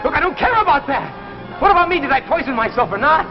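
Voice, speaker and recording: Desperate husband, American, menacing and urgent. High, volatile voice, vintage sci-fi drama. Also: male voice, very animated, quick pace. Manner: agitated, urgent, repeating himself. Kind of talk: 1950s science fiction anthology drama acting. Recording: muffled vintage broadcast with loud music.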